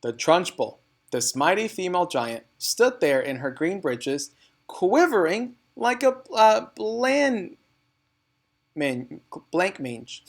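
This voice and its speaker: A man narrates a children's storybook in a mid-pitched voice. He reads expressively and deliberately, leaning on key words for dramatic effect. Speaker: read-aloud narrator. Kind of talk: expressive storybook reading for students.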